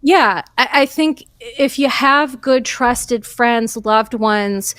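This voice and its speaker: Brief: woman podcast host, earnest and emphatic, fairly high voice, dry close sound. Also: slow, fairly bright tone, no echo. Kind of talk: casual podcast conversation.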